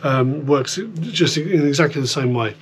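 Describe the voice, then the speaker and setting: British man, higher-pitched voice, humming background noise. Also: unhurried. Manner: informal, rambling, explaining step by step. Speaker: host of a radio gear demonstration video. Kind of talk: casual hands-on product demonstration. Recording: clear background noise with electrical hum.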